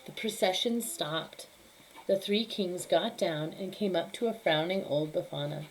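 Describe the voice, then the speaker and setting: A woman's voice: fairly low pitch, a little slow, slight background noise, rough recording. Woman reading a children's story expressively.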